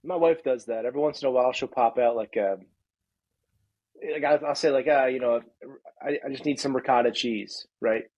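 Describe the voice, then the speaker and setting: A man's voice: medium pitch, a little fast, a little mellow, husky, no room sound, rough recording. A man with a Philadelphia accent co-hosting a podcast, wry and easygoing in casual chat. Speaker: podcast co-host.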